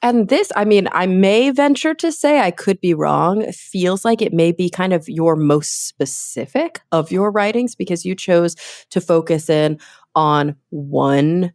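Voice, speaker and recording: A woman's voice: lowish voice, lively intonation, a little slow, a little mellow. A woman hosting a podcast in a chatty, informal, conversational way, sounding slightly congested from a recent illness. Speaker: podcast host. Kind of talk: conversational podcast introduction and interview.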